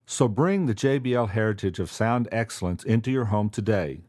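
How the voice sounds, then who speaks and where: Casting: male narrator, lowish voice, smooth scripted product explainer read. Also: moderate pace. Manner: explanatory, even and polished.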